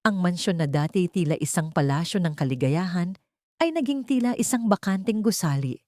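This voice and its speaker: A woman narrates a scripted drama in a low voice with an animated melody. The sound is dry and close, suited to an audio story. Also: brisk, no room sound.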